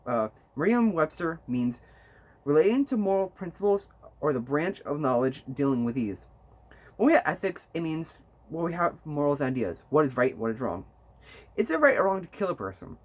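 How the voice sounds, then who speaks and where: Casting: young male student, husky, higher-pitched voice, delivering a halting persuasive class speech. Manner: stumbling, often restarts words mid-phrase. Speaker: student speaker.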